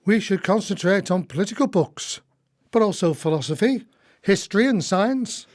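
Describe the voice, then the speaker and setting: A man's voice: sits high, expressive, moderate pace. An actor playing a wry, devoted male co-conspirator in a radio play, with a theatrical delivery. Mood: reasoning.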